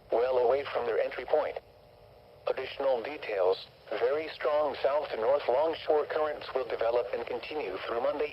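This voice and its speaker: An American man's synthetic-sounding voice reading a scripted weather bulletin in a formal, stilted, automated way. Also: mid-range voice. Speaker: weather radio announcer. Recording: dull, noisy weather radio broadcast.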